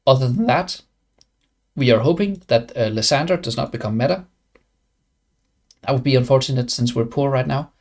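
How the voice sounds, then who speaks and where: A man's voice: mid-range voice, moderate pace, long pauses, darkish tone. A young man from Denmark with a Danish accent, chatting casually on a game live stream and rambling with many fillers and restarts. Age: young adult.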